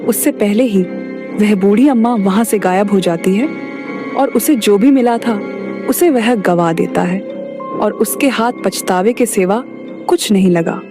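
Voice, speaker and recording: A woman's voice: mid-range voice, measured pace, over music. A woman narrator reading a scripted moral story for a cartoon.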